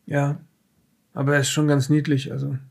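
A man playing a tense, defensive occult professor under questioning, halting and testy in an improvised audio drama. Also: on the high side, completely flat delivery, vocal fry, darkish tone. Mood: nervous.

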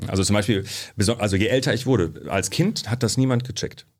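A man from Germany, a sociologist guest, telling stories in a relaxed studio interview, with lots of fillers. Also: fairly low pitch, fast talker. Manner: chatty storyteller, frequent fillers.

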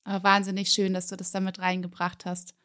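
A woman hosting an interview, asking gently leading questions with encouraging words. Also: medium pitch, monotone, a little fast. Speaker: podcast host.

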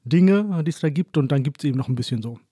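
A man's voice: fairly high pitch, expressive, quick pace, warm tone, breathy edge, no echo, clean studio sound. A man from northern Germany, a guest chatting casually and thoughtfully in an interview, playing along with jokes.

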